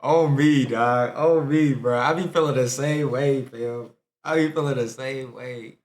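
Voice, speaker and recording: A young American man reacts to clips in easygoing, slang-heavy banter. His accent is plainly American and his voice sits at an ordinary pitch. He talks like he's hanging out with friends. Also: fairly even intonation, a little slow. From United States. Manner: laid-back slangy banter, laughs loudly. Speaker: reaction video host. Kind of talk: casual reaction commentary.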